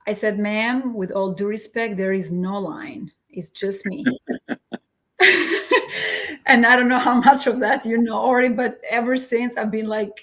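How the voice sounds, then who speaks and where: A woman from Albania hosting a casual live interview, telling a story in an animated way and laughing readily. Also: fairly high pitch, unhurried. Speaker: host.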